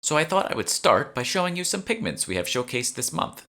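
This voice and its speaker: Man voicing a polite, earnest female museum curator, raised pitch. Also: sing-song, brisk, sharp tone, full character voice. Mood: proudly explaining. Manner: courteous, informative, eager to please. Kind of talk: audiobook character dialogue.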